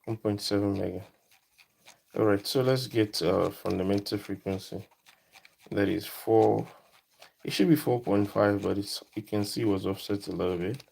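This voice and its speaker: A man giving a casual, unscripted electronics demonstration, thinking aloud in short, halting phrases. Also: fairly low pitch, fairly even intonation, creaky, a little mellow. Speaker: electronics hobbyist presenter.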